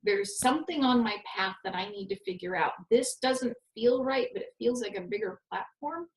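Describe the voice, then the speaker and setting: A woman's voice: fairly high pitch, normal speed. Nasal californian woman host, joky self-deprecating stories, echoey room. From Southern California. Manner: self-deprecating, animated storytelling. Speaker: network host and coach. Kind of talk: casual conversation. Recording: roomy echo.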